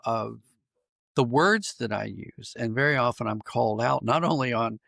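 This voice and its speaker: A man answering interview questions conversationally as a writer, thoughtful and halting, circling back to rephrase as he goes. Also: mid-range voice, expressive, creaky, a bit bright, no room sound, clean studio sound. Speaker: podcast guest, writer and dramatist.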